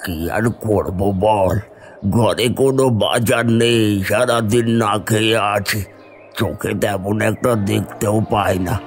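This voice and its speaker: A man voicing a poor, gentle old grandfather in a fairy-tale cartoon, pleading and kindly in manner. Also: lowish voice, measured pace, faint music behind. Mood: weary, pleading. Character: hungry, grateful old grandfather, gentle and mysterious. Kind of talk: animated fairy-tale dialogue.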